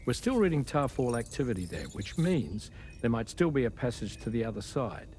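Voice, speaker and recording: A man's voice: medium pitch, sing-song, brisk, a little mellow, slight background noise, lightly acted. A man playing a serious, considerate leader briefing his crew in a video game cutscene. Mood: grave, measured.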